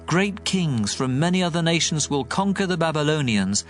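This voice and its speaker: Man playing prophet jeremiah, grave, earnest, measured delivery, slightly high voice, faint music behind. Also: sing-song. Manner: grave, measured reading of warnings. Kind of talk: scripture audio drama narration. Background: soft music.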